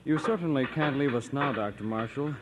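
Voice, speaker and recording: A man's voice: mid-range voice, a little fast, natural voice. An actor playing a pushy, pleased male church elder in an audio drama. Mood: insistent. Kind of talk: dramatised radio-play acting. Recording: heavy noise behind the voice.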